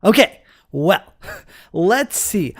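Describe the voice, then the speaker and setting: A man hosting a gaming video with casual, playful roleplay commentary and animated reactions. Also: high-pitched, extremely slow.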